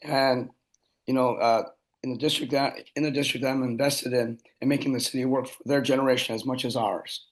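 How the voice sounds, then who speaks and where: A hoarse man in his fifties, a local candidate, introducing himself plainly and a bit haltingly over a video call. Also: mid-range voice, steady tone. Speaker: supervisor candidate.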